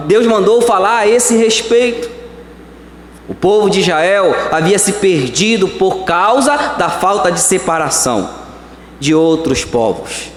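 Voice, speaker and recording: A Brazilian man preaching a sermon as pastor, swinging between slow emphatic words and quick phrases. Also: high voice, slight background noise. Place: church hall. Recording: echoey church hall.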